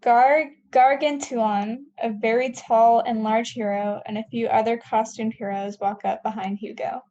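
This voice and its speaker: A woman's voice: a little high, steady tone, a little slow, warm tone, lo-fi sound. A woman narrating scripted stage directions for a table read.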